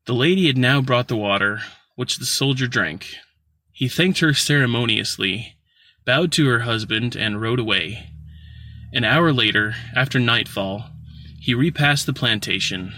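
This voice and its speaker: A man narrating a literary short story from a script, in a measured, formal manner with an occasional stumble. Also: mid-range voice, pushed and bright, dry recording. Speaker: audiobook narrator.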